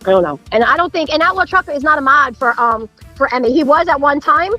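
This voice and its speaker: A woman's voice: high voice, expressive, quick pace, bright, forceful tone, dull audio, faint music behind. A woman in an informal argument, sounding defensive and combative and cursing freely.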